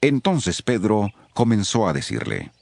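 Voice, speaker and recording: A man narrating scripture from a script, telling it in a measured, expressive storytelling way. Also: lowish voice, creaky, no echo.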